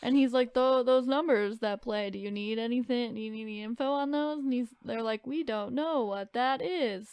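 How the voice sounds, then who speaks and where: A woman's voice: a little high, moderate pace, few pauses, a little mellow. A woman co-hosting a podcast with casual, teasing, incredulous banter. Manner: reactive, teasing, incredulous interjections. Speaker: podcast co-host.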